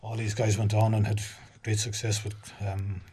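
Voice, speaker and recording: A middle-aged man from Galway with a dark, mellow voice chats loosely, leaning on easy fillers. A faint noise runs under him. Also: fairly low pitch, flat delivery, normal speed. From Galway, Ireland. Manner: chatty, filler-heavy, frequent "like, you know". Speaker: radio guest. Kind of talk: casual radio chat.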